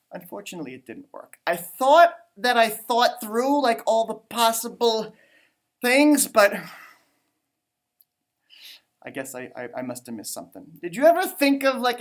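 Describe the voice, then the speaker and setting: A man hosting a storytime for children, reading and chatting in a theatrical, halting, conversational way. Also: high voice. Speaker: storytime host and teacher. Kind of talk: children's storybook read-aloud with chatty asides.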